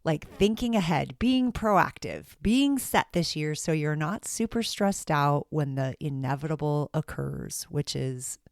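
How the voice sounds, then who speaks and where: A woman hosting her own podcast in casual solo talk, her voice raspy and hoarse from strained vocal cords, chatty and encouraging. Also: sits low, lively intonation, unhurried, few pauses, no echo, clean studio sound. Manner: chatty, encouraging, laughs often. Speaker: podcast host, former teacher.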